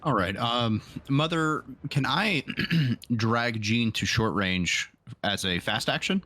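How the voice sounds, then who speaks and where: A man's voice: medium pitch, expressive, takes it slowly, pushed and bright. A man playing a tabletop game, casually asking about rules and describing what his character does. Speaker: player.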